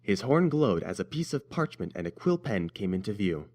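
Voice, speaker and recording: A man's voice: fairly low pitch, very animated, normal speed, darkish tone. A man narrating a scripted fan-fiction story as an audiobook reader.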